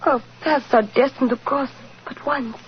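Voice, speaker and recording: An actress playing a solemn, mystical Romani fortune-teller in a 1940s radio drama, speaking in formal, portentous phrases. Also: female voice, high-pitched, steady tone, measured pace, husky, full character voice. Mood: ominous, solemn. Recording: dull, hissy old radio recording.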